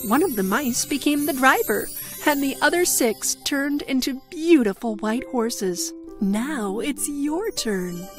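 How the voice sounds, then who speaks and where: A woman's voice: high-pitched, expressive, measured pace, few pauses, fairly bright tone, soft background music. A woman with an American accent narrating a scripted fairy tale for children. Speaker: storyteller.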